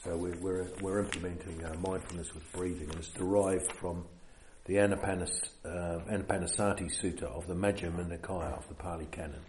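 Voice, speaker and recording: A man with a New Zealand accent leading a meditation retreat, giving an unhurried dharma talk with many fillers. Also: low-pitched, fairly even intonation, a little mellow, reverberant, slight background noise.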